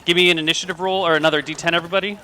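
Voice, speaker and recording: A man narrating as game master in a tabletop game, relaxed with fillers, over a video call. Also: on the high side, very fast, background noise.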